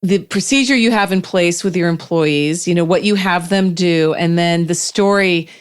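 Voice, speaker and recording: A middle-aged woman hosts an interview, asking thoughtful questions and feeling her way through them. Her voice is smooth and clear, set a little low. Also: normal speed. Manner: thoughtful, searching for words while asking. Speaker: podcast host, interviewer.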